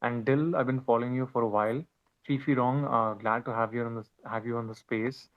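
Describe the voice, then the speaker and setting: Hesitant man on dull online audio. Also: fairly even intonation. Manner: hesitant, halting. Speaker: audience speaker asking a question. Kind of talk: casual spoken question on a live online panel. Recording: dull low-fidelity online call audio.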